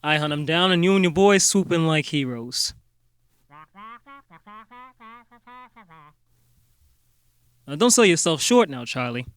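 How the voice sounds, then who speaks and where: A man plays an earnest amateur sleuth in a comic radio play. His voice sits a bit high and is recorded dry. He speaks politely and haltingly, with a folksy, slightly bumbling manner. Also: smooth, dry recording, natural voice. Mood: easygoing. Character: earnest small-town amateur detective, polite, a little bumbling. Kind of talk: comic radio-drama acting.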